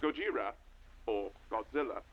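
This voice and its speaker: A man's voice: on the low side, normal speed, natural voice. A man playing a grave, earnest documentary guide, narrating formally and portentously from a script in a vintage style. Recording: dull lo-fi audio with slight noise.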